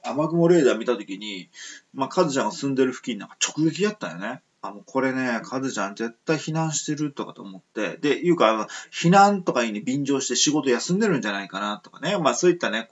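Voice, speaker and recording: A man's voice: mid-range voice, lo-fi sound. A man hosting a casual radio chat, polite and rambling with many fillers. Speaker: radio show host.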